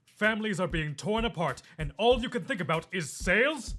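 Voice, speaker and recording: A man's voice: high-pitched, expressive, moderate pace, sharp tone, soft background music, heavily acted. A man playing a dogged, earnest, quirky police detective in an audio drama, probing and melodramatic. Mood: accusing.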